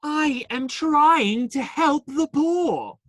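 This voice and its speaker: A woman's voice: high-pitched, lively intonation, extremely slow, no echo, clean studio sound, full character voice. A woman playing a young male outlaw in a radio play, smitten, defiant and idealistic, earnest but faltering when challenged. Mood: frustrated.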